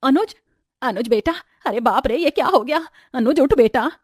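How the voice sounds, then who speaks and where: Lady doing a sharp-tongued bossy mother-in-law from a storybook, high pitched, close dry sound. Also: lively intonation, normal speed, husky, dry recording. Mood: alarmed. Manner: sarcastic, domineering, sharp-tongued. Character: scolding, bossy middle-aged mother-in-law.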